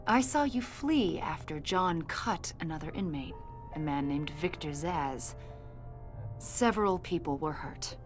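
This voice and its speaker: An actress playing a confident government agent in a video game, questioning in a pointed, insinuating and accusatory way. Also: female voice, sits low, lively intonation, a little slow, soft background music. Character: probing female government agent, confident, accusatory, smoothly needling. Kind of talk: video game dialogue acting.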